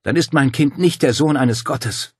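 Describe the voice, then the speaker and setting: A man voicing an outraged, defiant young woman with sharp, scornful delivery in an audiobook drama. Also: mid-range voice, unhurried, husky, dry recording, studio quality, voice pushed up. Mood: bitter, defiant.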